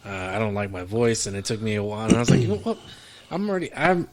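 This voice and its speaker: A young man co-hosting a podcast, his pitch jumping around as he talks. He chats casually and slips into other people's voices to tell a story, with a lot of energy behind it. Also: quick pace. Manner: animated, acts out voices in stories. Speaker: podcast co-host.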